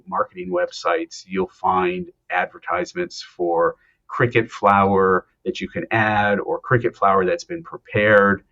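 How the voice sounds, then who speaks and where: A male professor from Ohio, answering interview questions in a relaxed, explanatory way, pausing often with ums. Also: lowish voice, gravelly, lo-fi sound. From Ohio, United States. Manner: explanatory, hesitant with many ums. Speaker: anthropology professor, guest. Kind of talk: conversational interview.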